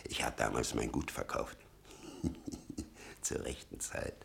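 An actor playing a bitter, doting old father in a 1960 German radio play, halting and brooding, muttering with sudden emphasis. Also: very deep voice, fairly even intonation, creaky, fairly bright tone, a little hiss or hum, full character voice. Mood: obsessive, hopeful. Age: older.

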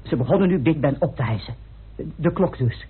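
An actor playing a methodical researcher explaining evidence precisely in a Dutch radio play. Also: male voice, on the high side, expressive, a little fast, husky. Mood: methodical, earnest. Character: Peacock, methodical, meticulous male researcher laying out evidence. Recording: muffled, band-limited old radio recording.

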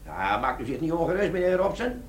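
An actor playing a cheeky, scheming older army sergeant in a comic radio play, with a gravelly, colloquial delivery. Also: male voice, on the high side, big pitch swings, brisk. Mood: cocky, eager. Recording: noisy old radio drama recording.

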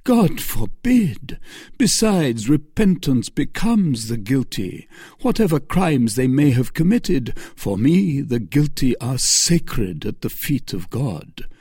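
A narrator plays a pious male officer from a classic novel, stern and moralizing. He talks slowly and formally, with earnest gravity and a mellow tone. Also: medium pitch, big pitch swings, slightly rough, no room sound, studio quality, voice pushed up, heavily acted.

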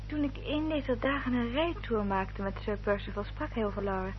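Actress as resolute Victorian gentlewoman, muffled old recording. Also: fairly high pitch, lightly acted. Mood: earnest, firm. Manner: measured, formal, articulate. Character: resolute, guarded Victorian gentlewoman, protective of her sister. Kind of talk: Dutch radio drama acting and narration. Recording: dull old radio recording, hum and noise.

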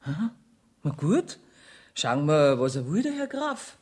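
Low, lively Bavarian lady banker. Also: unhurried. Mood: courteous. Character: shrewd, courteous Bavarian female private banker receiving clients. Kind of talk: German radio drama acting. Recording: 1970s radio production with clear noise.

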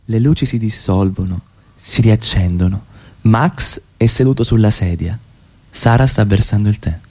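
A man reading stage directions for a radio play in a measured, descriptive manner. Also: lowish voice, dark tone, no echo, pitched below the performer's own voice. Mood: neutral, measured. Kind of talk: scripted narration of radio play.

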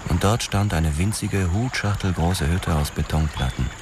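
Actor as a very deep-voiced hard-boiled detective narrator. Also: male voice, normal speed, noisy background, natural voice. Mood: tense, grim. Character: hard-boiled male police detective narrating his own story. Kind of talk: scripted first-person radio play narration.

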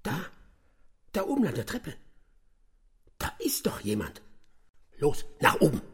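An actor playing a brilliant, proud and impatient detective in a radio crime comedy, sarcastic and condescending. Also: male voice, on the high side, wildly swooping pitch, measured pace, fairly bright tone, slightly rough, exaggerated voice. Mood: alert, urgent.

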